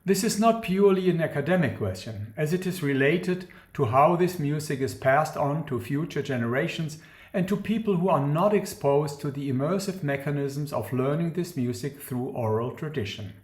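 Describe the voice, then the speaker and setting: Higher-voiced man lecturing in echoey room. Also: expressive, a little mellow. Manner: formal, measured, precise. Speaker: academic researcher presenting a talk. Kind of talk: scripted academic lecture. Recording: roomy, echoing sound.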